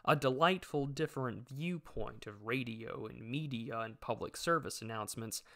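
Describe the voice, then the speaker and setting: A man's voice: medium pitch, normal speed, a bit bright. A young man giving a thoughtful, musing monologue outdoors by a country pond, full of asides. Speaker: video essayist. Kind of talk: reflective monologue.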